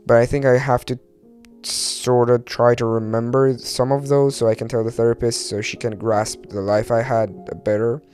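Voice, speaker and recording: A man's voice: mid-range voice, little pitch movement, moderate pace, darkish tone, faint music behind. A man from Iran who later moved to Turkey talks alone as a podcast host in a rambling, confessional way, hesitant and self-deprecating, swearing casually.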